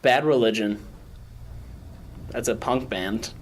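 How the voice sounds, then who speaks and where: A man's voice: medium pitch, slow pace. Joking young man on a podcast. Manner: joking, rambling, breaks into off-key singing. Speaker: podcast co-host. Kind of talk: casual chat. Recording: faint background noise.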